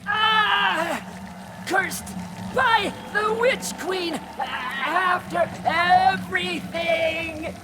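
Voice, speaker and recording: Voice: very high voice, very slow, very noisy, exaggerated voice. An actor playing a scheming, needy worm creature in a video game, theatrical and wheedling, speaking in clipped broken phrases. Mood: agonized, pleading. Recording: loud music underneath. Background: music.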